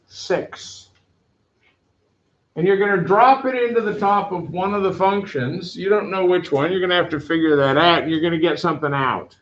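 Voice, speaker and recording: A man teaching a math class, giving loud, direct, brisk instructions to his students. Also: sits high. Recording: echoey classroom, dull lo-fi sound.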